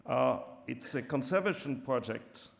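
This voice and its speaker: A man with a non-native English accent co-hosting an awards ceremony, speaking in a measured, halting way with many fillers. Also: medium pitch, animated, gravelly. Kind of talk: formal ceremony announcing. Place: large theatre stage. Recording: long hall reverb from a theatre stage.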